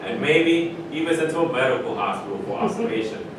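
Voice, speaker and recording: A man acts out an opinionated, wry basketball great in a stage play. He talks like a storyteller, pausing for emphasis. The sound is distant and very echoey, over rumbling noise. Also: very animated.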